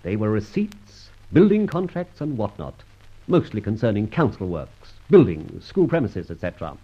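Radio serial: suave, mock-courteous masked rogue, hugely animated delivery, slightly husky, pompous and teasing. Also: male voice, on the low side, normal speed. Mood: smug, explaining. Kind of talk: old radio serial acting. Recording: dull old radio recording.

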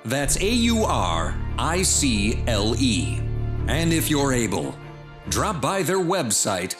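A man hosting a podcast, reading a scripted promotional introduction with deliberate, emphatic phrasing. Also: mid-range voice, wildly swooping pitch. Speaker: podcast host introducing a show. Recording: roomy sound with music underneath.

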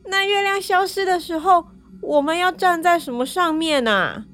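A storyteller voicing a girlish, excitable young moon lady in a children's audio story, drawing out exclamations. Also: extremely high pitch, moderate pace, faint music behind, voice pushed up. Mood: worried, surprised. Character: young moon lady Chang'e, girlish, indignant, easily startled.

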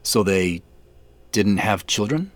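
A man's voice: on the low side, expressive, slow, no echo. An actor playing a polite, reserved businessman in a naturalistic audio drama. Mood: careful, curious. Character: polite, reserved businessman revisiting a farm from his youth. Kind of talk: naturalistic audio drama dialogue and first-person narration.

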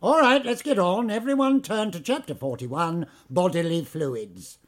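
An actor playing a pompous, bullying theme park manager with an English accent, in audio drama style. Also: male voice, high voice, lively intonation, measured pace. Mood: officious. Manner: pompous, theatrical.

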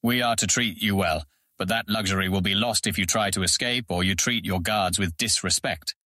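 Stern fantasy audiobook prison guard: low, hard-edged voice, formal and commanding, close dry sound. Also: male voice, moderate pace, bright, forceful tone, no room sound. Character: stern armed prison guard, grave, not unkind.

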